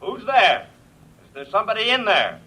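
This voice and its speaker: An actor playing an astonished stranger in an old-time radio drama, with a husky, sharp voice. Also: male voice, sits high, wildly swooping pitch, normal speed, exaggerated voice, rough recording. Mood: amazed, calling out. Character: astonished stranger calling in to survivors.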